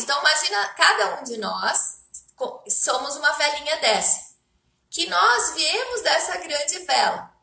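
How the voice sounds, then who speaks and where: Bright, high-voiced Brazilian woman, slightly creaky. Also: echoey room. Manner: explanatory, halting, with rhetorical questions and tag questions. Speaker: therapist hosting a livestream. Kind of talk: spiritual self-help talk to live viewers.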